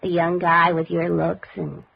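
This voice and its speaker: A woman's voice: lowish voice, monotone, unhurried, gravelly, slightly rough, heavily acted. An actress playing a wary, blunt woman clerk at a lonely-hearts club in a 1950s radio drama, with slangy, defensive delivery. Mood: brisk, skeptical. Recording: dull vintage 1950s radio recording.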